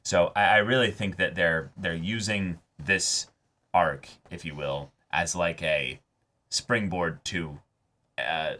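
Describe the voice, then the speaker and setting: A man co-hosting an anime reaction show, discussing in a casual, animated way and often repeating words as he thinks. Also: low voice, slow pace.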